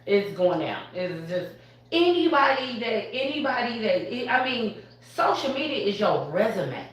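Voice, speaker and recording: A woman talks up her barbershop with lively, emphatic energy and frequent laughs. She sits a way back from the mic, and the room around her rings with heavy echo. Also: fairly high pitch, a little slow. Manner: animated, emphatic, laughs often. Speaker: barbershop owner. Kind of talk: live panel talk. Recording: echoey room from far off.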